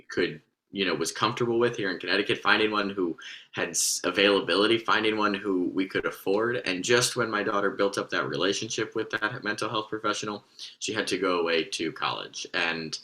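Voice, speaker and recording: A man with a deep but very bright, forceful voice speaks as a state senator on a panel. He explains things in an articulate, unscripted way.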